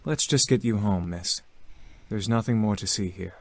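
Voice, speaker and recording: A woman voicing a calm, polite young man who speaks reassuringly. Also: rumbling low, moderate pace, dry recording, pitched below the performer's own voice.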